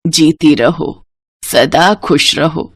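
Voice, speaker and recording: A woman's voice: low voice, extremely slow, breathy edge, no room sound, clean studio sound, voice pushed down, heavily acted. A woman voicing a kind, motherly elderly village woman in a moral story cartoon. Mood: proud blessing. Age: older. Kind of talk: animated moral story dialogue.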